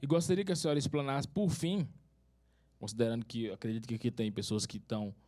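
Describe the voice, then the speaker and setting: A man asks a lecturer a question at a seminar. His voice is mid-pitched, and he talks formally and hesitantly, stringing points together with many fillers. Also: steady tone, quick pace, mellow. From northern Minas Gerais, Brazil. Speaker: city councillor asking a question. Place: conference hall.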